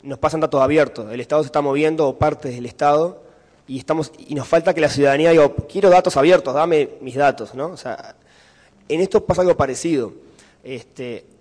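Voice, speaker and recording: A man's voice: fairly high pitch, a little mellow. A man with a Uruguayan Spanish accent giving a conference talk and answering questions, explaining step by step with fillers and checking in with the audience. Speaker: conference speaker. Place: conference hall. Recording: hall reverb from a lecture room.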